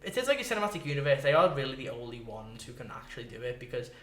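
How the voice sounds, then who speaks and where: A man chatting casually as a podcast co-host, rambling in an animated, sweary way and leaning on "like". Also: medium pitch, very fast. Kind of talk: casual chat about films and games. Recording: roomy, echoey sound.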